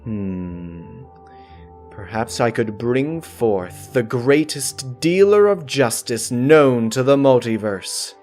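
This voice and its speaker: A man's voice: mid-range voice, animated, takes it slowly, darkish tone, faint music behind. A man voicing a lazy, petulant female pony ruler with self-important royal phrasing in a comic storybook. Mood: regal.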